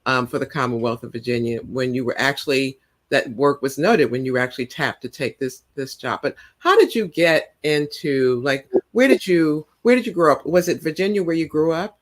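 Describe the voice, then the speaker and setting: A woman hosting a podcast, introducing and questioning her guest in casual chat with encouraging prompts and quick laughs. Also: extremely low pitch, animated. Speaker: podcast host.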